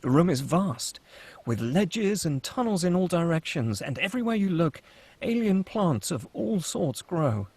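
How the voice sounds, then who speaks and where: A man with a fairly high voice narrates a screenplay. He reads action lines and scene headings as scripted storytelling. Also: sing-song, a little slow, a little mellow, breathy edge, dry recording. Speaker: stage-direction narrator.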